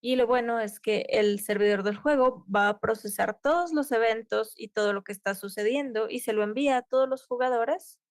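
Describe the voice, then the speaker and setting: A woman's voice: a little high, moderate pace, hardly any pauses, no echo, studio quality. A woman interpreting a conference tech talk live, keeping pace with occasional cut-off restarts. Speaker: simultaneous interpreter.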